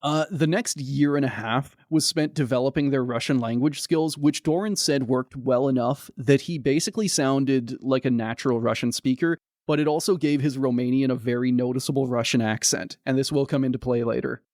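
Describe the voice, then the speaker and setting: Dude casually narrating history for a podcast, dry close mic, middle pitch. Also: male voice, brisk, few pauses, darkish tone, dry recording. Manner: laid-back storyteller, filler-heavy, laughs easily. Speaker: podcast co-host.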